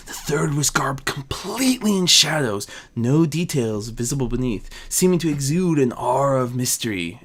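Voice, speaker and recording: A reader narrating a fan fiction story with expressive delivery. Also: medium pitch. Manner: expressive, wide-ranging delivery.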